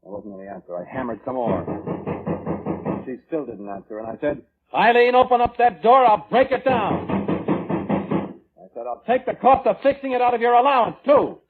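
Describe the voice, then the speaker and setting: A man's voice: sits high, sing-song, normal speed, breathy edge, exaggerated voice. Violent defensive man in old radio play, swings up and down a lot, muffled w music under. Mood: angry, agitated. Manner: defensive, blustering. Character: violent, self-justifying man recounting his grudge at an inquest. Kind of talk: 1940s radio drama acting.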